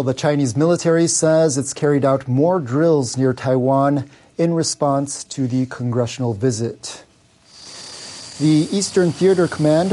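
Mellow male newsreader, faint background noise. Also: mid-range voice. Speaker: radio news reader. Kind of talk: scripted news bulletin.

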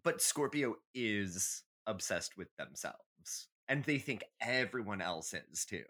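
Animated, bright-voiced man chatting sassily. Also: lowish voice, slow. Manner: sassy, opinionated, freely swearing. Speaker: podcast co-host. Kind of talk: casual comedic astrology chat.

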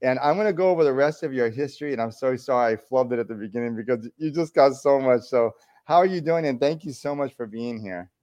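Male host, animated, enthusiastic interviewer with an ordinary mid-range voice. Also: medium pitch, fast, hardly any pauses. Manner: enthusiastic, apologetic, eager questions. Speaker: podcast host. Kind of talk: interview hosting.

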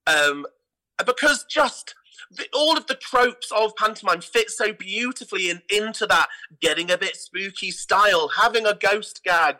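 A British man with a very bright, forceful voice that sits a little high for a man. He talks with enthusiasm, in the conversational way of an interview guest explaining his work. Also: low-quality recording. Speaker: guest, artistic director of a pantomime theatre company.

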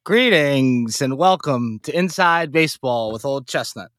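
A man's voice: medium pitch, animated, measured pace, a bit bright, no room sound, studio quality, soft background music. A man co-hosting a podcast who teases his partner and laughs often in casual banter. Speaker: podcast co-host.

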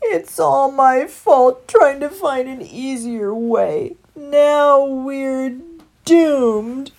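Woman voicing a squeaky, weepy little animal, high-pitched. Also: extremely slow, darkish tone, exaggerated voice. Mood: weepy despair. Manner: wailing, dramatic outbursts. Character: timid, despairing, weepy small male animal traveler.

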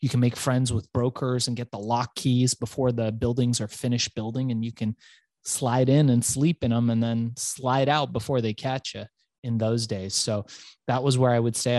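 A man from Iran, whose first language is Farsi, telling his life story in an interview with animated, profane energy. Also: medium pitch, moderate pace, dry recording. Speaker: entrepreneur guest.

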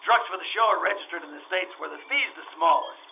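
A man's voice: high voice, fast talker, creaky, sharp tone, highs cut off, a little hiss or hum, full character voice. A man playing a nervous, folksy, evasive but chatty small-time carnival man in old-time radio drama style, stammering and repeating words. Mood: sheepish, evasive.

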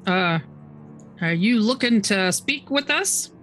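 Casting note: woman player, mid-pitched, chatty, faint music under. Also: lively intonation, slow pace, a bit bright. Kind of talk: casual tabletop play.

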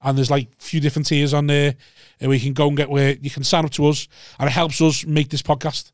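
A man cohosting a podcast, joking around and swearing casually. His voice sits a little high. The recording is dry and close. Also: little pitch movement, fast, no room sound. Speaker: podcast co-host.

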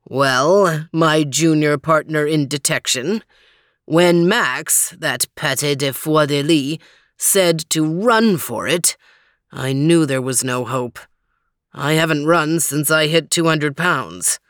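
Woman putting on a deep, booming, pompous dog detective. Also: takes it slowly, dry recording, studio quality. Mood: smug, droll. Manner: pompous, wordy, leisurely. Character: big, pompous dog detective, droll leader. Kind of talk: storybook character reading.

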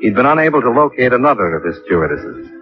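An actor playing a cool, persistent investigator in 1950s radio detective drama, terse, matter-of-fact and probing. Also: male voice, medium pitch, very animated, fast, natural voice. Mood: matter-of-fact narration. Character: cool, persistent male investigator narrating his case. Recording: dull old radio recording with music.

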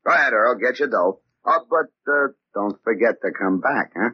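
An actor playing a glib, falsely genial American gangster, backslapping and slyly insinuating, in a 1940s radio crime drama. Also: male voice, medium pitch, animated, moderate pace, slightly rough. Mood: smoothly insinuating. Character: glib, falsely genial male gangster hosting a card game. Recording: dull, muffled 1940s radio recording.

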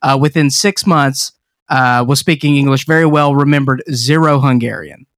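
A man from the American South with a Southern accent, chatting haltingly and self-deprecatingly as a podcast co-host. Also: mid-range voice, a bit bright, no room sound, studio quality. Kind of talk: casual chat.

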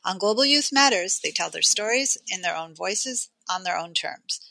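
Creaky-voiced woman podcast host. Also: medium pitch, lively intonation, moderate pace, pushed and bright. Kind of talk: scripted intro and casual interviewing.

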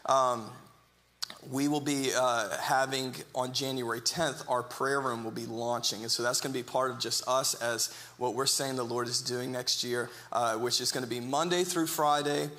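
A pastor giving church announcements in an earnest, halting way, with many fillers. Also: male voice, mid-range voice, steady tone, sharp tone.

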